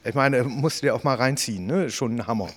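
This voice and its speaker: A man of medium pitch hosts a loose political chat, his voice trailed by room reverb. He talks colloquially and haltingly, with wry asides. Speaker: podcast host, politician.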